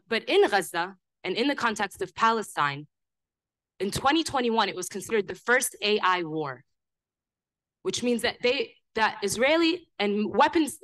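A young woman, a student activist, speaking loudly and insistently to a class without a script, often restarting her sentences. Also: medium pitch, low-quality recording. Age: young adult. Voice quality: loud voice. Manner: impassioned, insistent, with frequent restarts. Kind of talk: impromptu talk to a class. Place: lecture hall.

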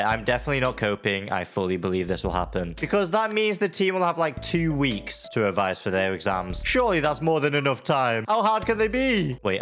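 A man's voice: medium pitch, fairly bright tone. A young man giving hyped, slangy gaming commentary with emphatic delivery as a video host.

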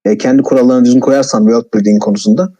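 A man's voice: medium pitch, steady tone, quick pace, mellow, dull audio. A man giving blunt, argumentative film criticism in a casual, colloquial conversation. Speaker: film commentator, video maker.

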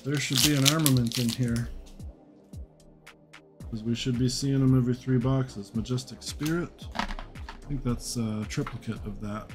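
A man with a mid-pitched voice talks casually and chattily over clearly audible music. He rambles along in an easy, slangy way, as if hosting a video from a table. He sounds middle-aged and relaxed in his delivery. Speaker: trading card shop owner hosting an unboxing video. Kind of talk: casual rambling chat while opening card packs. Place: indoor room at a card-opening table. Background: music, crinkling card packs.

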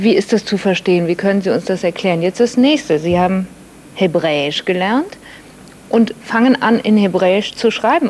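Woman interviewer asking measured questions. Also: mid-range voice, a little mellow, a little hiss or hum. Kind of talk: studio interview. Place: TV studio.

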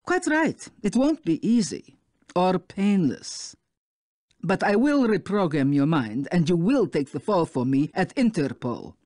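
An actress playing a condescending, menacing spider villainess in a video game, with a silky manner. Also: female voice, on the low side, sing-song, measured pace, warm tone, no room sound, clean studio sound. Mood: gloating. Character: sinister, condescending spider villainess, a secretly corrupt Interpol official.

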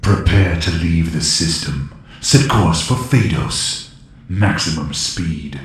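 A cavernous, heavily echoed voice booms as a menacing emperor. It is extremely low and unhurried, cold and imperious, in the style of an audio drama villain. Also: male voice. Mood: cold, commanding. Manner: slow, imperious. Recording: heavily echoing voice.

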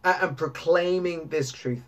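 Higher-pitched British man, earnest church address. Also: measured pace. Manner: earnest, gently exhorting, repeats key phrases. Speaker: church elder and pastor. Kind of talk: informal sermon and church update to camera. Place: small indoor room lined with bookshelves.

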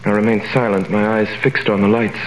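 A man doing a young sailor swept off to prison, telling his own story in a low voice on an old radio play. The recording is echoey and hissy, like a 1930s broadcast. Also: flat delivery, fairly quick, natural voice. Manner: narrates in first person, then shouts in panic. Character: young male sailor, wrongly jailed, desperate. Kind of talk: 1930s radio drama acting.

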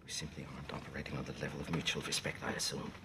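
Deep-voiced, smug German officer. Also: male voice, level tone, racing, very noisy. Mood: smug, courteous. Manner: polite, theatrical, precise, savouring phrases. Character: urbane, smug, menacing Nazi officer negotiating coolly.

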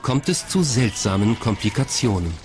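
A man's voice: on the low side, lively intonation, normal speed. A man reading scripted narration as the narrator of a documentary. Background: faint music.

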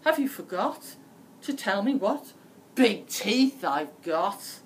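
A woman acts out a sly male storybook wolf with a big appetite. He is menacing and theatrical, with drawn-out, very varied delivery. The recording sits back from the mic with faint noise. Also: medium pitch, sing-song, recorded from a distance. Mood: indignant, menacing. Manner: theatrical, wailing and leering.

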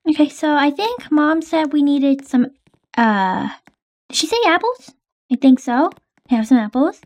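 A woman's voice: sits high, expressive, measured pace, a little mellow. A young woman hosting and narrating a game roleplay in a casual, hesitant way with many ums.

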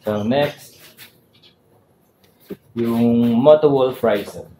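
A man's voice: mid-range voice, drawn-out words, warm tone. A man with a Filipino accent hosting a casual vlog, talking conversationally with frequent little tag words. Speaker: motovlogger and channel host. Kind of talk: casual vlog product rundown. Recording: roomy echo, clear background noise.

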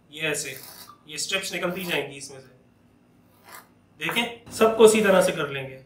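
A man's voice: fairly high pitch, sharp tone, echoey room, slight background noise. A middle-aged man hosting a home cooking channel, explaining each step patiently while he chops at the counter. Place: home kitchen, indoors.

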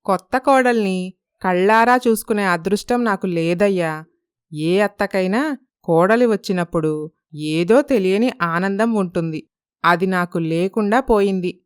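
An ageing mother-in-law from a moral tale , acted by a woman. Her voice is smooth and of medium pitch. She sounds wistful and plaintive, full of longing for her children. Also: unhurried, no echo, studio quality, lightly acted.

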